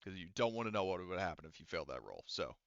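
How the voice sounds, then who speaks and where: A man acting as game master, casually narrating and making rulings while thinking aloud in a halting way. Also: low voice, sing-song, gravelly, fairly bright tone, no echo, dull audio.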